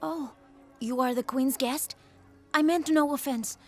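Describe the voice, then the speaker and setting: A woman's voice: sits high, a little slow. An actress playing a formal, guarded townswoman of a hidden city in a video game cutscene. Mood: apologetic, deferential. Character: suspicious townswoman who turns humbly deferential. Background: game music.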